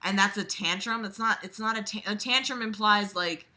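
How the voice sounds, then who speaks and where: A woman's voice: mid-range voice, moderate pace, pushed and bright. A woman reading a book aloud and critiquing it casually, blunt, exasperated and sweary, with sarcastic commentary.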